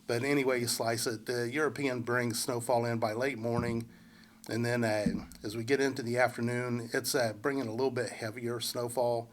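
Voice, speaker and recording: A man with a congested head cold giving a relaxed, unscripted local weather forecast, full of fillers and restarts. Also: mid-range voice, normal speed, a little hiss or hum. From central Indiana. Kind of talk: casual forecast walkthrough.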